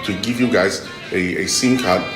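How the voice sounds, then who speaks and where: A middle-aged man with a Malaysian accent and a fairly low voice explains things in an interview. He speaks haltingly, repeating words and checking in with "okay". The room is echoey, with faint music underneath. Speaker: interviewee, tech executive.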